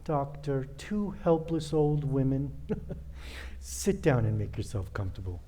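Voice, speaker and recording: Guy playing sinister crook nephew, dark smooth low-key tone, bossy n falsely polite, old radio comedy. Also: big pitch swings, takes it slowly. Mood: commanding scheming. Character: menacing, scheming criminal nephew, coolly commanding.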